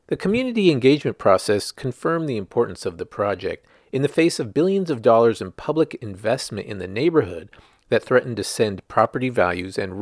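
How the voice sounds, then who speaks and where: A man's voice: medium pitch, no echo, clean studio sound. A man reading a news article aloud as a scripted narration, in the manner of a reporter presenting a written story.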